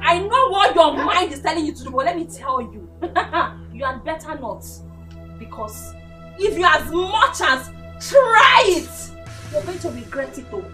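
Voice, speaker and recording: A defiant, scolding Nigerian woman in a film drama. Her voice is very high and swings widely, with emphatic repeats and clear music behind her. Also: lively intonation, moderate pace, bright, forceful tone, exaggerated voice. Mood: menacing. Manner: combative, scolding, emphatic repetition. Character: furious, defiant jilted girlfriend named Jane. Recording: background music behind dialogue.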